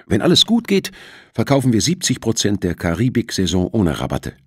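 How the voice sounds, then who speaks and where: Man as a husky, placating executive. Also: fairly low pitch, huge pitch swings, brisk, fairly bright tone, dry recording, clean studio sound, voice pushed up, exaggerated voice. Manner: placating businessman. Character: soothing, uneasy senior male shipping executive.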